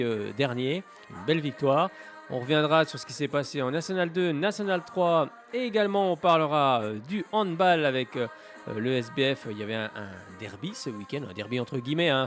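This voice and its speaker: A man with a head cold hosting a casual radio sports show, talking hesitantly with many filler sounds and small jokes. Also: mid-range voice. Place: radio studio.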